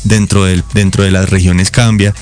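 A man's voice: deep voice, level tone, moderate pace, a little mellow, faint music behind. A man from Colombia with a Colombian accent, a guest in a casual interview who explains things at length, listing examples.

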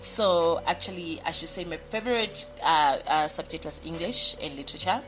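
A woman's voice: low-pitched, normal speed, a bit bright, low-quality recording. Bubbly rambling woman with music behind. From Zimbabwe, raised in South Africa. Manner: bubbly, rambling, many fillers and restarts. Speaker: radio personality, podcast guest. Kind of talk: casual interview answers.

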